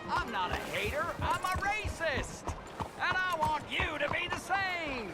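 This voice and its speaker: An actor playing a zealous, indignant bigot preaching on the street in a video game, theatrical and pompous. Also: male voice, very high-pitched, lively intonation, measured pace, over loud music. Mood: fervent, preachy. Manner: pompous, theatrical soapbox oratory.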